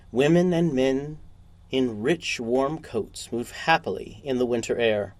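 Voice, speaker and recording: A man reading a short story as a narrator, in deliberate, measured phrases. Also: mid-range voice, darkish tone, no echo.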